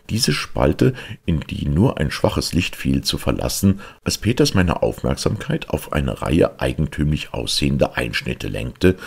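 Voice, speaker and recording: A man reading a literary story aloud as an audiobook narrator, with a gravelly, husky voice and measured, deliberate delivery. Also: very deep voice.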